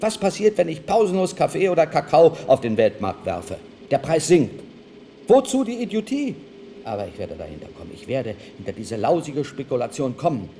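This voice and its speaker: A proud engineer who feels betrayed in a radio drama. His speech is emphatic and accusatory, swinging widely in pitch. The archival recording is clearly noisy. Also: big pitch swings, moderate pace, warm tone. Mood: indignant, scornful. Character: proud, indignant male inventor-engineer, outraged at betrayal. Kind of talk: German radio play acting.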